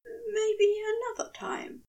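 A woman voicing an anxious, affectionate male angel, with a breathy, hushed, unsteady delivery and polite, halting, formal phrasing. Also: extremely high pitch, little pitch movement, far from the mic, voice pushed up, exaggerated voice. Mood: evasive.

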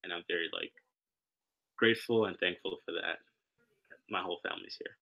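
A young man from Sudan, raised in Kenya, speaking as a podcast guest in a relaxed, halting way with many fillers. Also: sits low, narrow, tinny audio. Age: young adult. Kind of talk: casual interview conversation.

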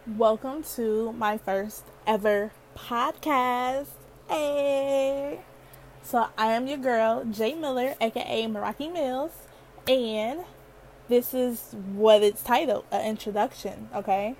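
A woman's voice: fairly high pitch, slow, a little mellow, a little hiss or hum. Chatty girlish-voiced young woman. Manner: chatty, playful, laughs often. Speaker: podcast host. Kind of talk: casual solo podcast chat.

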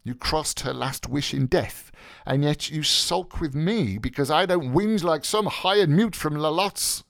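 A man's voice: a little high, a little slow, creaky, a bit bright, no room sound, clean studio sound, voice pushed up. A man voicing a brash, mocking young medical student in an audiobook, theatrical and flippant, with exclamatory, declamatory delivery. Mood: mocking, bold. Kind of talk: literary audiobook character voice.

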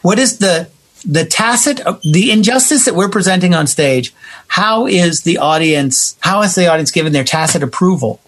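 A man with a husky voice talking conversationally as a theater maker being interviewed, emphatic and given to acting out what people said. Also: high-pitched, animated, normal speed, fairly bright tone. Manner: emphatic, acts out quoted reactions. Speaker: theater playwright and director, interview guest. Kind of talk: animated conversational storytelling.

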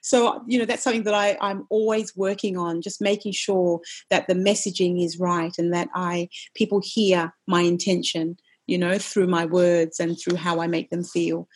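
A middle-aged woman, a wellness coach, talking in a casual interview, forceful and passionate, with many quick restarts. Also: on the low side, flat delivery, slightly rough. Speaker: nutritionist and wellness coach, guest.

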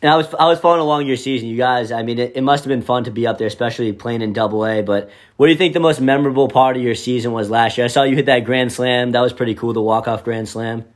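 A man's voice: mid-range voice, fairly even intonation, fast talker, few pauses. A man interviewing a ballplayer, firing off eager questions.

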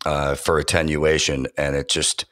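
An enthusiastic man talking shop in a podcast interview, his voice sitting extremely low. He stumbles through fillers and restarts as he goes. The sound is dry and close, with no room around it. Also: flat delivery, moderate pace, fairly bright tone, no echo. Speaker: voiceover artist and audio engineer. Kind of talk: casual interview talk.

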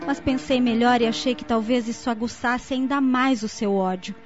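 High-voiced earnest young daughter played by an actress. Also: female voice, fairly even intonation, moderate pace, lightly acted. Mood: contrite. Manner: earnest, emotive. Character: anxious, contrite young daughter. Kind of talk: radio soap acting. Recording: dull lo-fi audio, music underneath.

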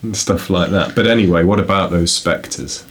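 A young man with a low voice co-hosts a relaxed book podcast. He rambles cheerfully, tosses out puns and laughs at himself. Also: normal speed. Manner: playful, punning, self-deprecating. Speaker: podcast co-host. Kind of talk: casual book podcast chat.

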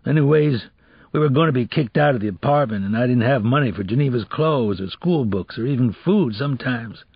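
A man voicing a weary, earnest ex-convict and troubled father, speaking plainly in street slang in an audiobook, with a husky voice. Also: mid-range voice, no room sound, lo-fi sound, pitched above the performer's own voice. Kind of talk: audiobook character dialogue.

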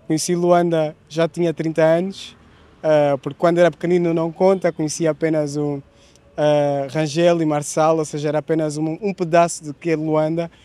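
A man's voice: a little high, little pitch movement, moderate pace, dark tone. A middle-aged man from Angola answering candidly in an interview, with frequent fillers.

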